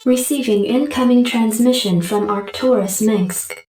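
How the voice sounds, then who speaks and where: A woman's voice: on the high side, measured pace, mellow, close to an everyday voice. A woman voicing an impassive military computer in a video game, with clipped, formal announcements. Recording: echoey, distant, music behind.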